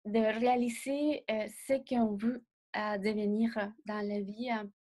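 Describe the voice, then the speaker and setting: A young woman, not a native speaker of the language, answering interview questions as a designer in a measured way and often pausing to find words. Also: mid-range voice, fairly even intonation, a little mellow. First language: not French. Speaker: designer guest.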